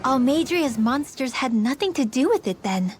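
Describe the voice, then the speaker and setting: A teenage mage girl with a fairly high, youthful voice. She is sensitive and self-doubting, and speaks sincerely in a video game drama. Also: big pitch swings, normal speed, soft background music. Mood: thoughtful.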